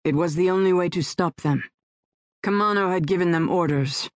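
A woman doing a gruff, curt male rescuer. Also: sits high, moderate pace, no echo, studio quality, natural voice. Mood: blunt. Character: tough adult male rescuer, blunt, sardonic.

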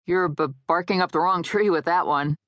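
A woman's voice: fairly low pitch, moderate pace, a bit bright, no room sound, voice pushed up, natural voice. Woman acting out a shy stammering male ghost. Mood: awkward, hesitant. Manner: hesitant stammer on first sounds.

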